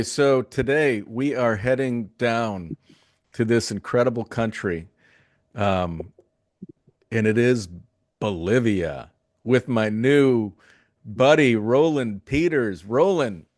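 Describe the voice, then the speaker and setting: A man's voice: mid-range voice, lively intonation, slow, no echo, clean studio sound. Enthusiastic male interview host. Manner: enthusiastic, repeats words when starting. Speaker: show host. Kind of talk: casual interview hosting.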